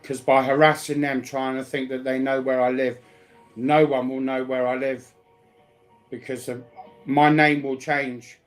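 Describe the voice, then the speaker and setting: Man chatting casually in a flat tone. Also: mid-range voice, unhurried, faint music behind. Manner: colloquial, repeats himself. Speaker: speaker sharing personal life news. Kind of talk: casual rambling chat.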